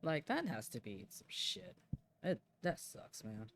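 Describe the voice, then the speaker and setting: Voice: medium pitch, very animated, unhurried, vocal fry, a bit bright, slight background noise. A game streamer giving casual reaction commentary in an informal, sweary way.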